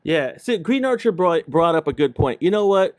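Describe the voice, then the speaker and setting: A man's voice: a little high, expressive, normal speed, dry recording. A man hosting a casual livestream chat in an informal, slangy way. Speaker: host of a video game livestream show.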